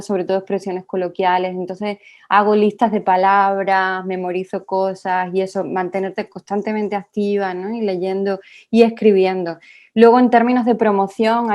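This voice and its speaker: A woman's voice: mid-range voice, mellow. A woman in her thirties from Spain with an Andalusian accent, answering interview questions in a thoughtful way, with frequent small restarts and tag questions. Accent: Andalusian Spanish. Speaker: writer being interviewed.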